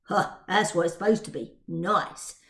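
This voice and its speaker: A woman's voice: fairly low pitch, unhurried, gravelly, a bit bright, roomy sound, pitched below the performer's own voice. A woman voicing a cocky, street-smart Victorian boy pickpocket with a Cockney accent, bragging in ungrammatical slang in a story reading. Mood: chatty, scornful.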